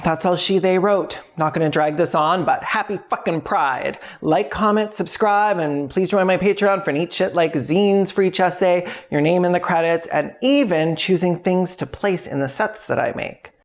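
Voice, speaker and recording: A woman's voice: fairly low pitch, normal speed, hardly any pauses. A woman host speaking directly to the audience in scripted narration, with informal wording. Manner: informal, sweary. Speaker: video essay creator and host.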